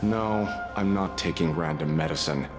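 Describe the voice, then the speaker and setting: A man playing a gruff, reluctant fox-folk warrior in a video game, speaking in a terse, curt way. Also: sits low, normal speed, music in the background, natural voice. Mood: refusing. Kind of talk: scripted game dialogue.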